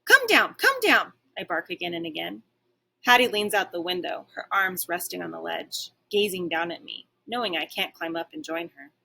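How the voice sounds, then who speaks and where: A woman reading a children's story aloud like a teacher, with expressive narration. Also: lowish voice, normal speed, creaky, rough recording.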